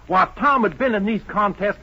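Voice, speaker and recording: An actor playing a blustery, folksy cowboy sidekick in an old radio Western, loyal and easily worked up. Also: male voice, sits high, a little fast, pushed and bright, husky, exaggerated voice. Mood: indignant. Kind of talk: 1950s radio Western acting. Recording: muffled old-time radio recording.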